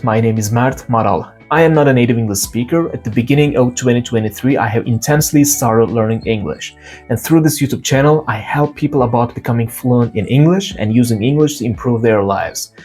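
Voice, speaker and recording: Earnest Turkish-accented man, soft music behind. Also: mid-range voice, husky. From Turkey. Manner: earnest, animated retelling of stories with quoted dialogue. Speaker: English learning channel host. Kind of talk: direct-to-camera motivational talk.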